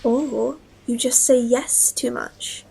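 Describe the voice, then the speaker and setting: A woman's voice: a little high, steady tone, slow, creaky, darkish tone, breathy edge, exaggerated voice, poor audio. A woman playing a female English professor who starts hearing strange voices, talking in a guarded, hesitant way. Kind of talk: audio drama acting.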